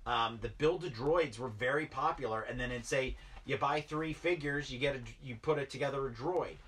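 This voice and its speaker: Man in his 30s, toy-collector vlogger, rambling chat, husky edge, a bit off-mic. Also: mid-range voice, moderate pace, fairly bright tone, recorded from a distance. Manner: rambling, filler-heavy, enthusiastic about toys. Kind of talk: casual unboxing chat. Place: basement toy room, indoors.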